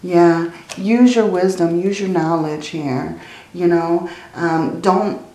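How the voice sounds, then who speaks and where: A woman reading tarot cards in a slow, soothing way, her voice tired and strained from many readings. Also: on the low side, fairly even intonation, dark tone, reverberant, rough recording. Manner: slow, soothing, often adds "okay?" and "I feel like". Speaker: tarot reader. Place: indoors at a table, hands seen handling cards.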